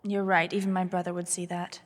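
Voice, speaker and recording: A woman playing a shrewd, serious young noblewoman in a fantasy audio drama, speaking in a measured, formal way. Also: lowish voice, monotone, natural voice. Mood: serious, pondering. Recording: long hall-like reverb.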